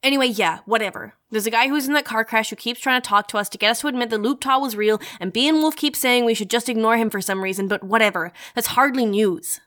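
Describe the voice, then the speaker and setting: A woman acts as a self-important young female superhero with a high voice, hosting her own show in an audio drama. She talks in melodramatic, mock-epic flourishes and drops into slangy, brash chatter. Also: fast, hardly any pauses, fairly bright tone, close to an everyday voice. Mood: breezy, eager. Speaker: host of an in-story news show.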